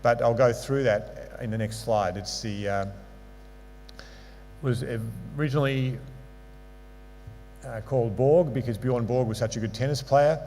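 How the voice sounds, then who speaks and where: A man's voice: mid-range voice, a little slow, pauses often, darkish tone. A man delivering a slide lecture in a big hall, his voice trailing a long reverb. He explains step by step, slips in dry little jokes, and a faint hum sits under the sound. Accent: non-local English accent. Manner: explanatory, with dry asides and fillers. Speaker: sports medicine lecturer.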